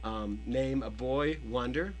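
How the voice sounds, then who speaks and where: A man's voice: medium pitch, slow, slight background noise. A young man co-hosting a radio show with casual, joking banter. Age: young adult.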